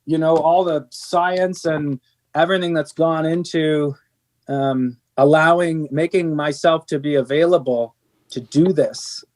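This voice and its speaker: A man's voice: fairly high pitch, fairly even intonation, measured pace, a little mellow. A middle-aged man, a band's singer, talking earnestly in an interview, rambling with many restarts and fillers. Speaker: band singer. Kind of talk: candid interview conversation.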